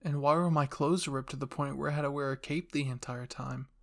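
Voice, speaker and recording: A young woman's anxious, curious inner monologue read by a man in an anime fan drama. The delivery is soft and wondering, at a middling pitch. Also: steady tone, brisk, creaky, natural voice.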